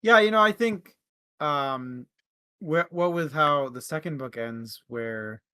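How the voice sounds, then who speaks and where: Young male co-host, bright slightly high voice, slow relaxed pace, dry sound. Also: clean tone, no echo. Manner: relaxed, welcoming, laughs easily. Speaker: book discussion co-host. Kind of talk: casual chat.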